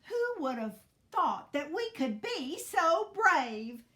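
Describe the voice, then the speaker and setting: A woman voicing a dramatic, doting and excitable mother hen in a children's storybook, in a gushing manner. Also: high-pitched, slow, voice pushed up, heavily acted. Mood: proud, relieved.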